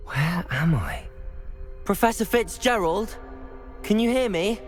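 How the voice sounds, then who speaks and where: A man's voice: high voice, lively intonation, slow pace, faint music behind. An actor playing an earnest, curious young male wizard student in a video game. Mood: uncertain.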